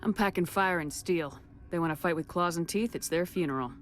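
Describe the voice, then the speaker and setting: An actress playing a tough, curt military commander in a video game cutscene, confident and no-nonsense. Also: female voice, on the low side, a little fast, slight background noise, lightly acted. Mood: confident, dry. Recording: game music behind the voice.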